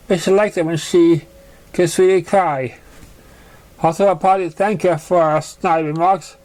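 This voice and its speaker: A man voicing a young orphaned pony girl, wounded but plucky, telling her story haltingly in an amateur fan fiction reading. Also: sits high, darkish tone.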